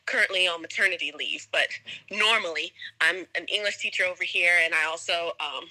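A woman's voice: fairly low pitch, fairly quick, bright, forceful tone, rough recording. A young American woman chatting casually as a guest in an interview. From United States, suburbs of Atlanta, Georgia. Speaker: podcast guest, English teacher.

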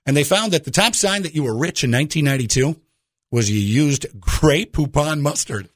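Man, radio host, lively pitch swings, conversational, jokey, direct address, rhetorical questions, dry studio sound. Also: normal speed, no echo.

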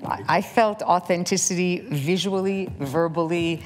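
An older woman moderating a stage interview before a live audience, asking measured, articulate questions. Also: on the low side, slight background noise.

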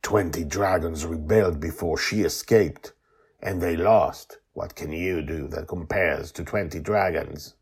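A man voicing a stern, derisive masked guardian spirit with a raspy, measured delivery in a storytelling podcast. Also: low-pitched, exaggerated voice.

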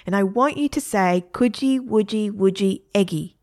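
A woman's voice: medium pitch, normal speed, darkish tone, lightly acted. A woman voicing a bossy, impatient young male prankster in a read-aloud story.

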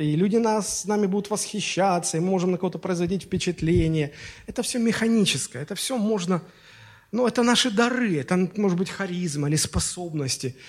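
A man preaching a Sunday sermon in Russian, in a conversational style with fillers and anecdotes, and his voice sounds strained. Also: high voice. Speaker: pastor. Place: church.

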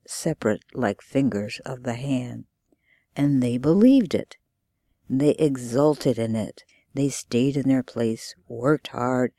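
A woman's voice: rumbling low, mellow, no room sound, clean studio sound. An American woman giving a dramatic reading of literary prose for radio.

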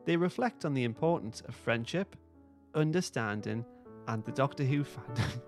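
A man's voice: medium pitch, lively intonation, moderate pace, over music. A man hosting a podcast, reading script narration aloud in an amused way. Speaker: podcast host.

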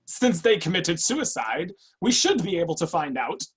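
A woman's voice: fairly low pitch, normal speed, slightly rough, exaggerated voice. A woman playing an argumentative, easily worked-up office worker in a play reading. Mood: matter-of-fact. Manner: excitable.

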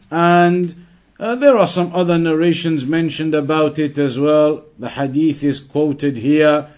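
High-pitched man teaching a religious lesson. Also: a little mellow. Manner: recites Arabic quotations, then explains them in English.

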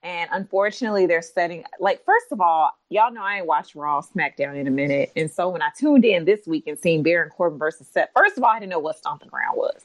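Animated, playful woman podcaster. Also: lowish voice, fairly quick, hardly any pauses. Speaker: podcast co-host. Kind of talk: casual chat about wrestling.

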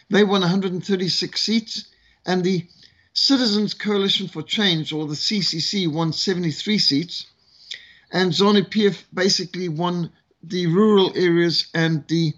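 A man from Zimbabwe talks through recent events as a guest commentator. His voice sits fairly high, and he lands his points with dry sarcasm between hesitant fillers. The sound is dull and lo-fi. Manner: sardonic, with frequent fillers. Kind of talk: political commentary in conversation.

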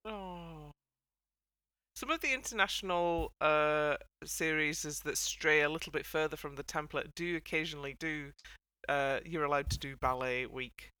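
Bright, clear-voiced podcast host, wry and wordy. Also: medium pitch, moderate pace. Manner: wry, wordy mock-formal phrasing. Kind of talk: casual panel chat about a TV dance show.

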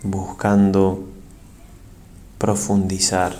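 A man, a priest, leads a guided prayer meditation in a solemn, reverent and measured way, pausing between short phrases. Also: low voice, completely flat delivery, darkish tone, a little hiss or hum.

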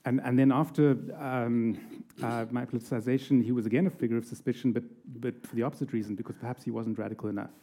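A man's voice: mid-range voice, steady tone, fast talker, hardly any pauses, dark tone. A man speaking as a guest in an interview, thoughtful and hesitant, repeating words as he begins his points. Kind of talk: reflective interview answer.

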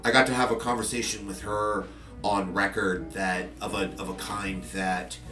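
A very deep-voiced man telling stories from his podcasting work, hesitant and full of fillers and restarts. He sounds roomy and set back from the mic, with faint music underneath. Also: level tone, normal speed, far from the mic. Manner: rambling, many fillers and restarts. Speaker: podcaster and journalist. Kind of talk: casual conversational interview.

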